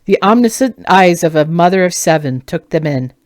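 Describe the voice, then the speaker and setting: Female author-narrator for fantasy audiobook. Slightly low pitch, dry close sound, expressive scripted read. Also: moderate pace, no echo. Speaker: author reading own novel.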